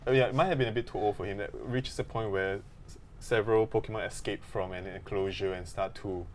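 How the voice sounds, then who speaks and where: A man's voice: lowish voice, steady tone, a little fast, slight background noise. A man co-hosting a podcast in casual, conversational chat. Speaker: podcast co-host.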